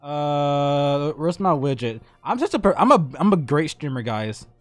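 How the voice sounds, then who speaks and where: A man's voice: a little high, animated, measured pace, no echo. A young man hosting a casual live stream, bantering and cursing as he stumbles over his words. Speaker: streamer, host. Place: indoor home streaming room. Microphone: streaming mic. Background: music.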